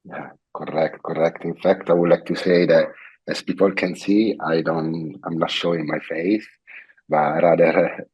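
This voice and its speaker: A middle-aged Italian man with an Italian accent, a guest chatting casually, halting and repeating his phrases with many fillers. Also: very deep voice, darkish tone, husky, muffled recording. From Italy. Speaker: guest artist. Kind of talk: casual interview chat.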